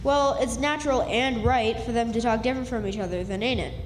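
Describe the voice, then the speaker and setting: A woman's voice: on the high side, a little fast, slight background noise, close to an everyday voice. A woman playing a plucky know-it-all country boy in a radio play, with a folksy backwoods delivery. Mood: arguing. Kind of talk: stage radio drama acting. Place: live stage in a hall. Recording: echoey hall, music behind.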